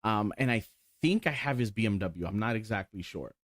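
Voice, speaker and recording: Excited rambling man in his forties. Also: lowish voice, a little fast, no echo, studio quality. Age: 40s. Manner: rambling, excited, swears casually, lots of ums. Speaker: sim racing streamer. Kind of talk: casual chat while showing cars.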